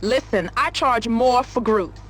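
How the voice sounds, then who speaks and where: An actress playing a brazen, sassy streetwalker who teases in a video game cutscene. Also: female voice, on the high side, a little slow, a bit bright.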